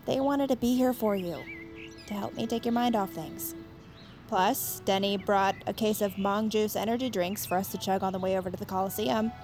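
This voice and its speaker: A woman's voice: mid-range voice, normal speed, a little mellow, soft background music, close to an everyday voice. An actress playing an eager, chatty young woman who rallies her friends, in naturalistic audio fiction. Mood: eager, persuasive. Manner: hesitant rambling turning to pushy pep talk.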